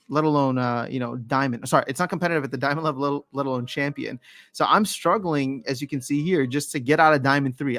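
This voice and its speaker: A man's voice: fairly high pitch, fairly bright tone, dry recording. Chatty man on a dry close mic. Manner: rambling, self-correcting, restarts phrases often. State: voice slightly worn from use. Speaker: livestreamer. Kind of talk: casual livestream chat reading viewer comments.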